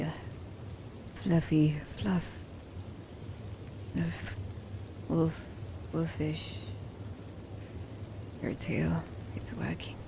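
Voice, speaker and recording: Rain and thunder sound clearly behind a woman acting as a guarded female assassin in a romance ASMR audio drama. Her voice is soft and gravelly with vocal fry. She takes her time over each phrase, hesitant and reluctantly tender. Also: low voice, noisy background, heavily acted. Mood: reluctantly affectionate. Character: reserved female assassin, grudgingly tender toward captive werewolf.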